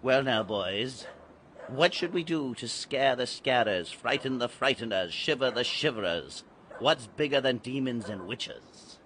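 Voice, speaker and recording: An actor playing a mysterious, grandly macabre guide to young boys in a dramatized audiobook, declaiming like an incantation. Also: male voice, mid-range voice, animated, a little slow, slight background noise. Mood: urging, rousing. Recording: loud music under the voice.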